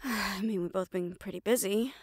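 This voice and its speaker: A woman's voice: medium pitch, moderate pace, no echo, studio quality, natural voice. A woman playing a tough, loyal assistant to a mafia boss in a casual, familiar audio roleplay. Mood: casual, warm. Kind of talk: intimate audio roleplay acting.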